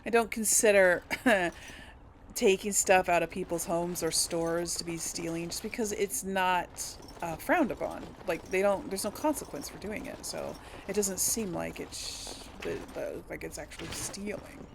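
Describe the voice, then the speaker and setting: A woman's voice: on the low side, moderate pace, creaky, background noise. A woman giving casual role-play video game commentary, thinking aloud in a hesitant, conversational way. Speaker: gaming video host and player.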